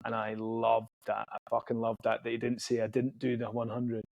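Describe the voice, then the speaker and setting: A man's voice: medium pitch, completely flat delivery, moderate pace, gravelly, a little mellow, no echo, highs cut off. A man hosting a casual podcast chat, jokey and chatty with plenty of fillers and restarts. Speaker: podcast host.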